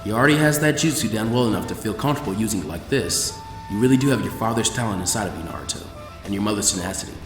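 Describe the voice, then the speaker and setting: A composed veteran ninja teacher, put on by a male reader for an anime fan story. His voice drops low and stays measured and grave. Faint music sits behind it. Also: expressive, natural voice. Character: calm, seasoned adult male ninja teacher.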